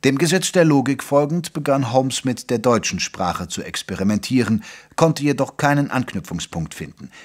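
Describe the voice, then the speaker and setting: Male actor as detective's gentlemanly chronicler, mid-pitched, articulate audio drama narration. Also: lightly acted. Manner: measured, articulate storytelling.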